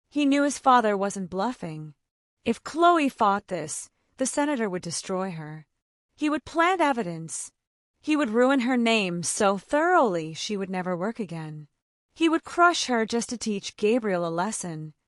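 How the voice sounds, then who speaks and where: A woman narrating a dramatic romance story in a scripted, expressive reading. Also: medium pitch, dry recording, studio quality.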